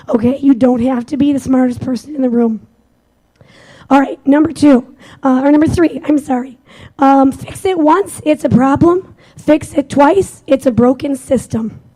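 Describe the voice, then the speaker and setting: A young woman with a squeaky voice giving an energetic, conversational talk to a live audience at a conference. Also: low-quality recording. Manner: energetic, conversational, self-deprecating. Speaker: conference speaker.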